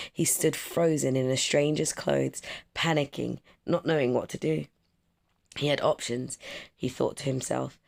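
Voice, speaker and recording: Children's book narrator: heavy vocal fry, measured and expressive read-aloud. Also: medium pitch. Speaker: storyteller.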